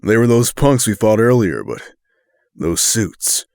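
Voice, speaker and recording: A tense warrior on the run in an audio drama played by a man. His voice is low and urgent, dry and close to the microphone. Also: very animated, measured pace, vocal fry, darkish tone, no room sound, heavily acted.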